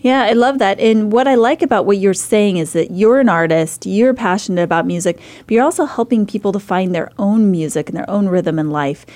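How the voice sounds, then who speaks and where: A woman hosting a radio talk show, with a voice of middle pitch. She sounds upbeat and encourages her guests as she leads the conversation. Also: brisk, few pauses, a little mellow. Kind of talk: radio interview.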